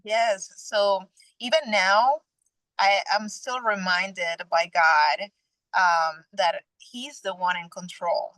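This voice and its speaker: A young adult woman from Venezuela, a guest sharing her personal faith testimony in an earnest, halting way with frequent restarts. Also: medium pitch, fairly even intonation, a bit bright, no room sound, rough recording.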